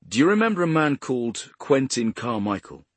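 A man's voice: medium pitch, big pitch swings, moderate pace, husky, no echo, voice pushed up. A man reading an audiobook as a police sergeant who questions in a terse, dryly polite way.

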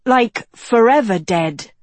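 A woman voicing a sharp-minded, insistent young woman in a fantasy audiobook, questioning and challenging. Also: a little high, expressive, slow pace, dry recording, voice pushed up.

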